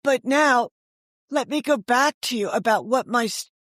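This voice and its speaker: A woman's voice: fairly high pitch, moderate pace, a bit bright, dry recording. Older woman, chatty storyteller, close dry sound. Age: older, around 70. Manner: chatty and candid, acts out quoted remarks. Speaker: guest, author and audio drama creator. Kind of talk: casual anecdotal storytelling in an interview.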